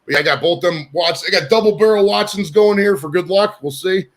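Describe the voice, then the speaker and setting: A brash man in his forties hosts a podcast from his home studio. He talks quickly and rambles, cursing freely, and his voice sits a touch higher than most men's. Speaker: podcast host. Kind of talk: casual chat.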